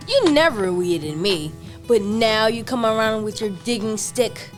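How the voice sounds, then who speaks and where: Young girl acting huffy, high, swooping talking yam. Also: lively intonation, soft background music. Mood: scolding. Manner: drawn-out, scolding. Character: huffy neglected talking yam scolding a farmer.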